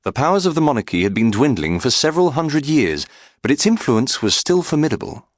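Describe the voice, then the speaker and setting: A man reading a scripted biography as an audiobook narrator, in a measured, articulate and formal way. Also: medium pitch, very animated, dry recording, studio quality.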